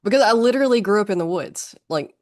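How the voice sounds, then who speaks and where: A woman from northern Alabama with a thick Southern accent, a podcast guest telling stories in a quick, chatty way. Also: mid-range voice, animated, darkish tone. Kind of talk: casual conversation.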